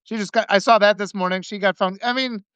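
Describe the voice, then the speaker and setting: A man from Wisconsin hosting a casual political talk, animated and swearing, acting out the people he quotes. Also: high voice, fast talker, clean tone, no echo. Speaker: host. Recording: muffled lo-fi sound.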